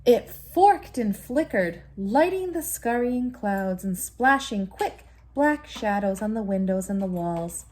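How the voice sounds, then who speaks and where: Slow, expressive woman reading a bedtime story. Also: mid-range voice. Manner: deliberate, dramatic emphasis on sound words. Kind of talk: expressive children's picture-book read-aloud. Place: room at home, indoors. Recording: noisy room, home recording.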